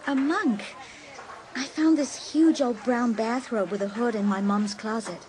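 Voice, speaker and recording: An actress playing a casual American high school girl in a dramatised audiobook. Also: measured pace, a little mellow, slight background noise, natural voice. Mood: casual, glum.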